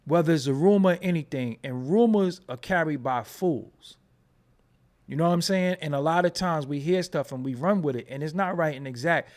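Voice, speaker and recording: A man's voice: on the high side, moderate pace, a little mellow. A man with a New York accent giving loose, profane commentary and often asking "you know what I'm saying". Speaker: commentary vlogger. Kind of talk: casual commentary.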